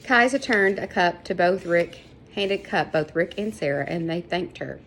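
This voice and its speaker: A woman's voice: fairly low pitch, animated, normal speed, a bit bright, slight background noise, low-quality recording. A woman reading a children's story aloud, stumbling over names and correcting herself. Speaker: reader of a children's book. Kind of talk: read-aloud story narration.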